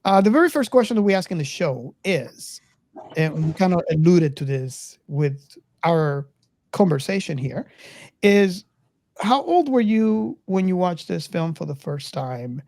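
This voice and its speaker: A woman with a low, dark voice hosts a casual film discussion. She speaks haltingly, often repeating words, and the recording is dry and close. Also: animated, dry recording. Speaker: podcast host.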